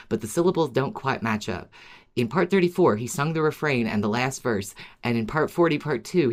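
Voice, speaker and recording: A podcast co-host with an agreeable way of talking, in casual fan discussion and reading out listener email. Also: mid-range voice.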